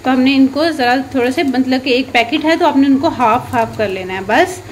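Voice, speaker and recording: A woman's voice: high voice, moderate pace, slight background noise. A woman narrating a home cooking recipe as a channel host, instructive and sprinkling in religious blessings. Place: home kitchen.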